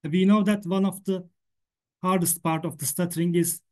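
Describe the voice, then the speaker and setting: A man's voice: high-pitched, steady tone, moderate pace, darkish tone. Man with a Turkish accent who stutters. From Turkey. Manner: stutters, repeating words and sounds. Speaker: stuttering association representative. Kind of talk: prepared presentation.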